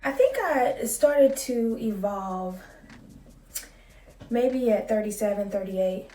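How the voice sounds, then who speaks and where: A woman in her late thirties, a school psychologist, talking in a soft, calm and measured way in a casual vlog. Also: mid-range voice, echoey room, distant mic, slight background noise. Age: 30s.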